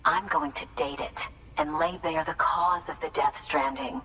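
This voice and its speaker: An eccentric researcher fixated on the secrets of the cosmos, a game character who announces everything grandly. Her voice sits very low, and she sounds set back from the mic, with a faint hiss underneath. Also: steady tone, measured pace, sharp tone, recorded from a distance. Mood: grandiose. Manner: grandiose, theatrical proclamations. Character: eccentric woman researcher obsessed with cosmic mysteries. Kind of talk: video game cutscene dialogue.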